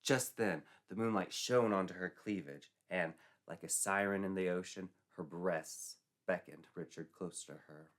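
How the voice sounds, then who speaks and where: A man's voice: sits low, a little slow, hardly any pauses. A man narrating a scripted comic tale in a theatrical, arch, mock-dramatic manner. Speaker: storyteller, narrator.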